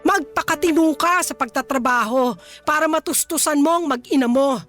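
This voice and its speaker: High, bright, scolding Filipina mother. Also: normal speed. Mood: scolding, stern. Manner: scolding, exasperated. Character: stern, resentful mother of a grown son. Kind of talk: Filipino radio drama acting. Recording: faint music bed.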